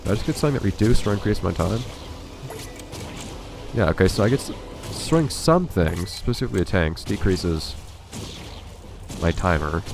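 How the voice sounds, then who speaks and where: A man's voice: low voice, expressive, normal speed, vocal fry, long pauses, over music. A man with a stuffy, congested voice giving dry, wry commentary while playing a video game. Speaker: let's play host. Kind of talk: casual gameplay commentary.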